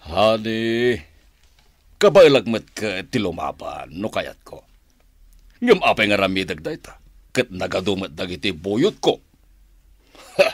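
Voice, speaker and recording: A man's voice: lowish voice, sing-song, a little slow, long pauses, no room sound. A Filipino man playing a boastful crime boss, swaggering in radio drama style. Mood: confident, boastful.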